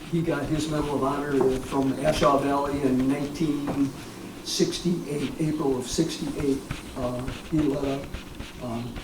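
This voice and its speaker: An American man in his seventies, a war veteran reminiscing informally in a halting, rambling way with frequent pauses. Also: medium pitch. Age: 70s. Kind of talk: informal reminiscence at a public talk. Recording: echoey, distant mic, clear background noise.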